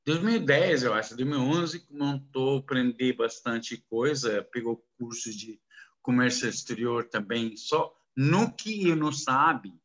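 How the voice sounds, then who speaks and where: Slow halting non-native man. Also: mid-range voice, a bit bright, highs cut off. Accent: foreign, non-native Portuguese. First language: not Portuguese. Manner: halting, broken Portuguese with many restarts. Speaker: interviewee, businessman. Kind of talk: casual interview answers.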